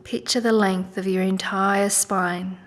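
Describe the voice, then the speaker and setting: A woman leading a guided meditation in a soft, gentle, soothing manner with unhurried pauses. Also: medium pitch, little pitch movement. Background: music.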